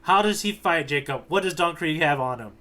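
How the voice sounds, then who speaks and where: A man co-hosting a podcast, recapping a story in casual, slangy and animated talk. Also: on the high side, brisk. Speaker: podcast co-host, tour guide. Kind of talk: casual recap chat about a manga.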